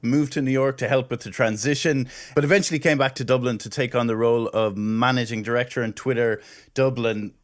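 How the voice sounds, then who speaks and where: A man with a mid-range voice acts as a podcast interviewer. He speaks conversationally, setting up questions for his guest. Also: mid-range voice.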